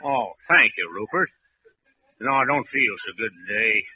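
An actor playing a droll farm fellow who loves animals and tells corny jokes in an old radio comedy. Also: male voice, on the low side, animated, moderate pace, bright, forceful tone. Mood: droll, folksy. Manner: corny punchline delivery. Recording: muffled, noisy old radio recording.

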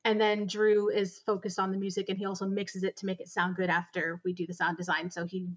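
An enthusiastic woman guest on a podcast, talking in long, earnest stretches. Her voice sits at an ordinary middle pitch. The sound is somewhat muffled and lacks top end. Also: level tone, brisk. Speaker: audio drama producer, guest. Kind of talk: conversational interview answers.

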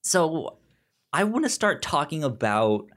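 A man in a casual film discussion, talking haltingly and stammering over repeated words and syllables. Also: a little high, sing-song, fairly bright tone. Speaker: film podcast host.

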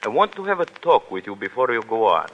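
A man's voice: on the low side, very animated, fairly quick. A man playing a worldly, insinuating café proprietor in a 1930s radio drama. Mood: businesslike. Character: oily, worldly café proprietor, foreign and advising. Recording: dull vintage 1930s radio recording.

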